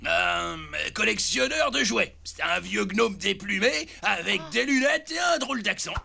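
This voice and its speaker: A man's voice: high-pitched, animated, measured pace, gravelly, background noise, heavily acted. A man voicing a flippant, careless middle-aged dad in a French cartoon dub, casual and rambling. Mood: sheepish, offhand.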